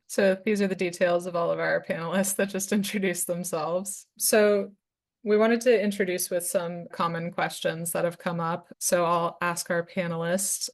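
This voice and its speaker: A woman's voice: lowish voice, gravelly. A young woman resident moderating a webinar panel, introducing speakers and asking questions in a friendly manner. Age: young adult.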